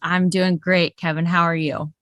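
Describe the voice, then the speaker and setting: A woman's voice: on the low side, flat delivery, moderate pace, fairly bright tone, dry recording. A woman chatting casually as a guest on a podcast.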